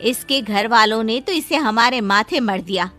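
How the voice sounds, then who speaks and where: A harsh mother-in-law in a cartoon, scolding and complaining. Her voice is high and swoops widely. She speaks slowly and pointedly. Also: animated, pushed and bright, soft background music.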